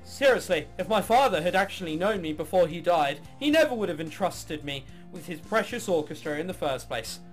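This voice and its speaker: Man playing a jaded young woman, sarcastic, bored, crass, high animated voice, visual novel narration, music behind. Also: a little fast, hardly any pauses. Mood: wry narration. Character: jaded, apathetic young woman, reluctant orchestra heiress.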